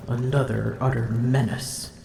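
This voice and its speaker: A woman's voice: very deep voice, steady tone, gravelly, dark tone, reverberant, slight background noise, heavily acted. A woman voicing a proud, smug female dragon in human form, haughty and teasing, in an ASMR roleplay. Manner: haughty, teasing, slow and deliberate.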